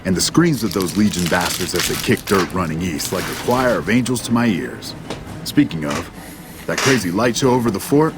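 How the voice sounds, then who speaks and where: An actor playing a swaggering, foul-mouthed middle-aged army general in a video game, with a folksy, blustering manner. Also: male voice, low voice, lively intonation, moderate pace, noisy background. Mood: grim admiration. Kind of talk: video game character dialogue.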